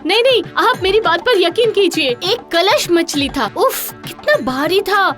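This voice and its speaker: A woman's voice: very high voice, animated, unhurried, over music. A woman playing a naive, complaining, insistent village wife in a cartoon story. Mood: insistent, upset. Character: gullible, chatty, sleepy village wife, insistent.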